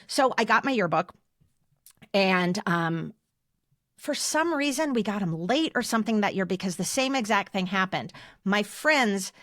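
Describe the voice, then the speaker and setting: A woman's voice: medium pitch, expressive, measured pace, a bit bright, dry recording, studio quality. A woman in her fifties with an American accent, telling personal stories casually and emphatically as a podcast co-host. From United States. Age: 50s.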